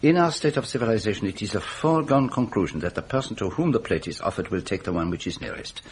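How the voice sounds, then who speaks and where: An actor playing a shrewd, courteous foreign detective in a radio drama, speaking in a measured, formal, questioning way with musing hums. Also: male voice, on the low side, expressive, slight background noise, lightly acted.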